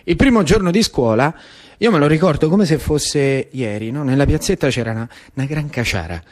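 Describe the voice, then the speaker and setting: A man tells a story as a theatrical radio monologue in a colloquial Roman accent. His voice sits high and swings widely in pitch, building momentum through rhythmic, repeated phrases. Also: a little fast. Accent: Roman Italian. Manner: colloquial, animated, rhythmic repetition. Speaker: actor-storyteller.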